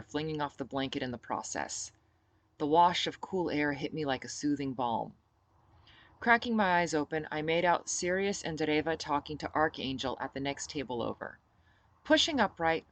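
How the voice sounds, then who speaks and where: A woman with a low voice reading her own novel aloud as first-person audiobook narration.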